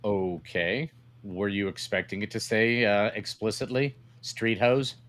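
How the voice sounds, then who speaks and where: A man's voice: lowish voice, fairly even intonation, unhurried, fairly bright tone. A man co-hosting a podcast in casual, bantering chat, crude and joking, often questioning his partner. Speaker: podcast co-host.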